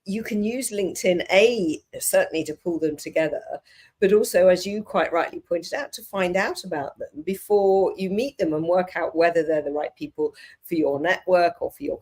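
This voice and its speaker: A podcast host talks with a guest, enthusiastic and a little rambling, filling gaps with "um" and "you know". The voice sits at an even middle pitch. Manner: enthusiastic, rambling, hedging with fillers. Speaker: podcast and live show host. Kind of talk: casual interview talk.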